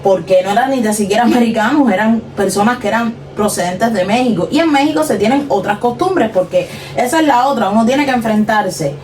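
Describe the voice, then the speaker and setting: A young woman with a Cuban accent talks earnestly to her viewers in a personal confessional vlog, often slowing and trailing off as she reflects. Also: a little high, expressive, a little mellow, slight background noise. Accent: Cuban Spanish. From Cuba. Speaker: vlogger. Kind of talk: personal confessional talk to camera.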